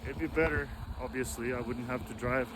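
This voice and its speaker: A man in his forties from Russia with a Russian accent, chatting in a relaxed, meandering way while riding outdoors on snowy roads. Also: mid-range voice, fairly quick. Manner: relaxed, meandering, self-correcting talk. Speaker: vlogger. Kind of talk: casual rambling chat while riding. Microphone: action camera mic. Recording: loud wind noise outdoors. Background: wind and road noise.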